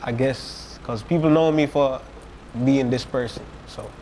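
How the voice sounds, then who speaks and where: A man's voice: medium pitch, unhurried, a little mellow, a little hiss or hum. A young man, a hip hop artist, whose accent mixes American and Jamaican patois, talking in a laid-back way with many fillers. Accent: American mixed with Jamaican patois.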